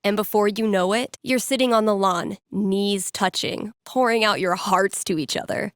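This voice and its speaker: A woman's voice: medium pitch, moderate pace, dry recording, lightly acted. A woman voicing an upbeat young radio DJ in a video game, conversational and teasing, with dry humour as she talks to callers. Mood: playful storytelling. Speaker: radio DJ taking calls and telling fortunes. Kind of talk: playful radio host patter, storytelling to callers.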